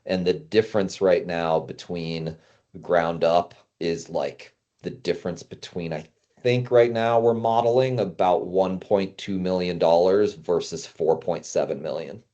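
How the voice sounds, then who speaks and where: A man's voice: low voice, fairly even intonation, unhurried, mellow, dull audio. A man talking casually as a guest consultant, explaining business matters in loose, conversational language.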